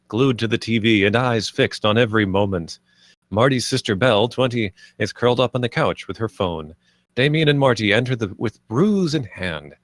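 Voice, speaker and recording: A man's voice: fairly low pitch, a bit bright, dry recording, clean studio sound. A man reading scripted stage directions as the narrator of a live screenplay table read.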